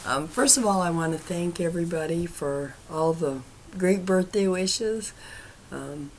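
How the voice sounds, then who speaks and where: Middle-aged woman vlogger, deep and creaky, froggy from a cough, light hiss behind. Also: unhurried, a little mellow, low-quality recording. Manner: hesitant, many ums, trailing off to near whispers. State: raspy cough, froggy throat. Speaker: cross-stitch vlogger. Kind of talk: casual chat to viewers.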